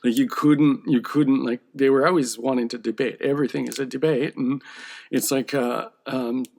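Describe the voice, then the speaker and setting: A man's voice: mid-range voice, steady tone, moderate pace, creaky, darkish tone. A man who draws comic books, talking in a casual, rambling monologue that often checks in with "okay?". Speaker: comic book artist.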